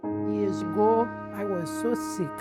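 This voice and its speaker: Slow-speaking Haitian woman. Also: mid-range voice. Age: middle-aged. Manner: earnest, non-native English phrasing. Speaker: documentary interviewee, mother. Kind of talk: personal testimony in a documentary interview. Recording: music under the voice. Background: instrumental music.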